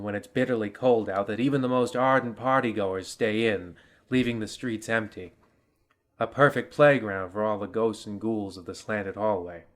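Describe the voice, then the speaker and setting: A man playing a pompous, crotchety old narrator of a radio horror show, with a theatrical, drawn-out delivery. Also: on the low side, lightly acted.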